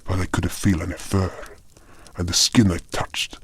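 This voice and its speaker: A man's voice: deep voice, level tone, normal speed, in a whisper. A man reading a scripted first-person fantasy narration as the storyteller of an audio drama. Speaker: narrator and voice actor.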